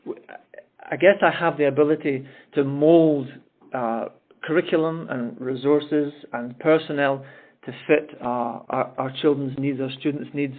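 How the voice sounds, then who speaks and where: A man's voice: on the high side, fairly even intonation, unhurried. A middle-aged man with a Scottish accent, a school principal answering on a call-in town hall, friendly and rambling with many restarts. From Scotland. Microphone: telephone. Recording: tinny phone line.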